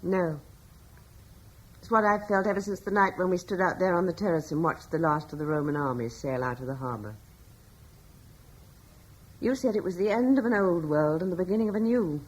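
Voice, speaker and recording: An actress playing Serena, a gentle middle-aged noblewoman and mother in a radio drama, speaking softly and earnestly. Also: lowish voice, fairly quick, pauses often, husky, poor audio. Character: gentle older noblewoman, mother, anxious but steady. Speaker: Serena, lady of a British estate.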